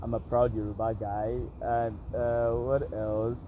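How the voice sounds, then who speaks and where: Young Nigerian man, mid pitch, hesitant reflective vlog delivery, Nigerian accent, noisy street background. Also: flat delivery, slow, phone-quality sound. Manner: hesitant, reflective, many fillers. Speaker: vlogger. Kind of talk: casual self-interview monologue.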